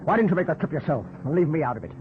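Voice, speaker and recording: Stubborn British pilot, blunt, sardonic, swooping pitch, old radio play, phone-like noisy sound. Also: male voice, very animated, racing. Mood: defiant, sardonic. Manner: blunt, sardonic, clipped retorts. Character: wary, stubborn British pilot for hire, blunt and self-protective. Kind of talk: old radio drama acting. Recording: muffled narrowband old radio, loud noise.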